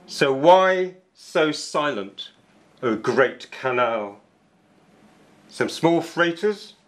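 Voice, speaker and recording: A middle-aged man, a poet, giving a deliberate, solemn reading of his work in a small cafe. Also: on the high side, fairly bright tone. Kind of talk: dramatic poetry reading for two voices. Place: small indoor cafe with bookshelves.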